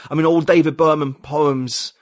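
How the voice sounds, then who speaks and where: A man's voice: fairly high pitch, steady tone, moderate pace, no room sound, clean studio sound. A man, a podcast guest talking reflectively and searching for his words in casual conversation.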